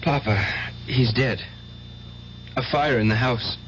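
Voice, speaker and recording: A man's voice: medium pitch, fairly even intonation, slow, fairly bright tone. An actor playing a meek but self-righteous young man, earnest and hesitant, in radio drama style. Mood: subdued. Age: young adult. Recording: muffled radio drama recording, faint hiss.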